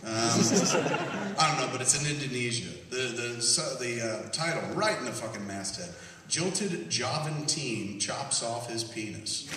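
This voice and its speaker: A man hosting a live comedy podcast, profane and animated, laughing through his jokes while reading a news story aloud and acting out voices. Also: mid-range voice, unhurried, over a noisy crowd. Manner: reads news aloud and acts out quoted voices. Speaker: podcast host. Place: large hall with live audience. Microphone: stage mic heard via handheld camera in the audience. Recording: echoey hall from far off with loud noise.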